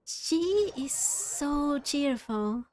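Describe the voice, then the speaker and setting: A woman's voice: sits high, lively intonation, drawn-out words, darkish tone, low-quality recording. A woman giving casual, reflective let's-play commentary, musing aloud as she plays. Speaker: gaming video host.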